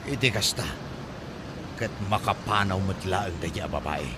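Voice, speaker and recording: A man's voice: deep voice, a little slow, noisy background. A man acting an exasperated boyfriend worn down by a clingy partner in an Ilocano comedy drama, with an Ilocano Filipino accent and a complaining, whining delivery. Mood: scheming, muttering. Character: exasperated, hard-pressed boyfriend of a clingy woman.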